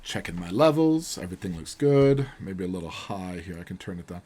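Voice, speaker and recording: A man with a booming voice talks like a philosophy professor thinking aloud. His musings are earnest and rambling, delivered in a lecture-like way as he plays a video game. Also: sing-song, fairly quick, warm tone. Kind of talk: casual monologue while playing a video game.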